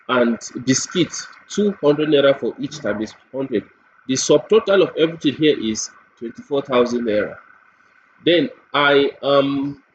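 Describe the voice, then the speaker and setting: A man presents a software demo step by step, deliberately, repeating phrases and posing questions to himself as he goes. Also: mid-range voice. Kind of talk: step-by-step screen tutorial narration. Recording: dull lo-fi mic with clear background noise.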